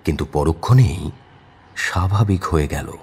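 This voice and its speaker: A man's voice: very deep voice, lively intonation, normal speed, darkish tone, slightly rough. A man narrating a scripted crime audio story in a dramatic storytelling style.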